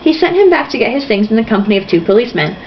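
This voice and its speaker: Animated, high-voiced woman reading aloud mockingly. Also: rapid-fire. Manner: theatrical, mocking. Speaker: host reading a novel aloud with jokey commentary. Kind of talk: casual dramatic read-aloud with sarcastic asides. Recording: dull lo-fi sound, faint noise.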